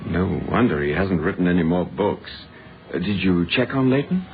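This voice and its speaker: A genial British confidant in an old-fashioned radio play. His voice is fairly low, and he prompts and questions in an easy, conversational way. The recording sounds narrow, like a phone line. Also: lively intonation, normal speed. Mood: curious, attentive. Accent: British English. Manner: conversational, inquisitive. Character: inquisitive, genial male confidant of the inspector.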